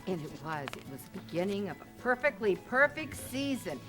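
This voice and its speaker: A woman's voice: medium pitch, very animated, fairly quick. An older woman hosting, chatting casually and coaxingly around a children's story reading. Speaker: host. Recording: music playing behind the voice.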